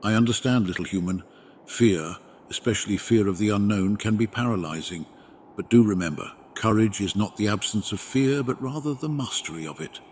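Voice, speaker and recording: A man voicing a wise, protective dragon in an ASMR roleplay, speaking in a formal, measured and reassuring way. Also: on the low side, a little mellow, slight background noise, close to an everyday voice. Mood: calm, advising. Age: adult parent with younglings. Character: wise, gentle, protective male dragon mentor. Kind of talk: ASMR roleplay, scripted comforting monologue.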